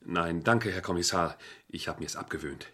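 A man's voice: sits low, moderate pace, fairly bright tone, breathy edge, faint music behind. An actor playing an uneasy, respectful street policeman in a crime radio play, polite, dutiful and defensive.

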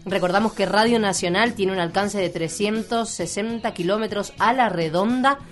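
An Argentine woman hosts a community radio program, talking in a relaxed, chatty way at a middle pitch. She moves easily between banter and longer spoken reports, with faint music sitting under her voice. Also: normal speed. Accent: Argentine Spanish. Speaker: radio host. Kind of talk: casual radio chat and report.

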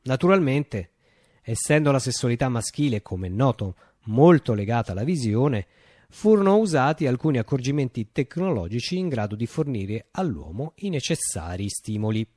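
Animated visionary storyteller, dry close sound. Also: male voice, mid-range voice, normal speed, dry recording. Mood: measured, wry. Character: male visionary storyteller recounting his utopian revolution. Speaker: actor performing a monologue. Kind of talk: theatrical radio monologue, dramatic storytelling.

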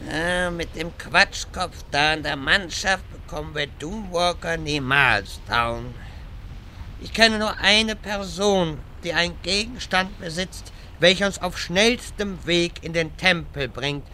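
A man's voice: on the high side, takes it slowly, bright, forceful tone, big-room sound, slight background noise, full character voice. A man playing a pompous, long-winded old wizard in a parody audio drama, with a grandiose, rambling delivery. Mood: scheming.

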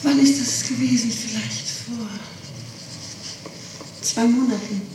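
A middle-aged woman is being questioned, heard from far off in a heavily echoing room on a 1960s tape. She answers haltingly and evasively, often hunting for the right word. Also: on the high side, steady tone. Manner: halting, evasive, searching for words. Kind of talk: police interrogation answers. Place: reverberant room, far from microphone. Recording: noisy, echoing 1960s interrogation tape, distant.

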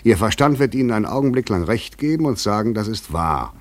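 An actor playing an overwrought, weary fugitive in a German radio play, speaking in an articulate, insistent, rhetorical manner. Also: male voice, on the low side, animated, fairly quick, close to an everyday voice. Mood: provocative, insistent. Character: overwrought, weary, probing male fugitive intruder.

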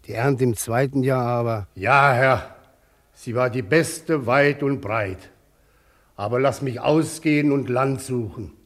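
Radio drama: male actor as solemn peasant elder, measured and slow, biblical cadence, close dry sound. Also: mid-range voice, no echo, close to an everyday voice. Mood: earnest, urgent. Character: grave, steadfast narrator and peasant patriarch.

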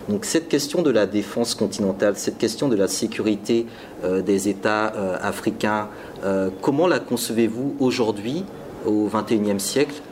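Deep-voiced measured interviewer. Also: male voice, darkish tone, a little hiss or hum. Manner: measured, with many hesitation fillers. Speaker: interview host.

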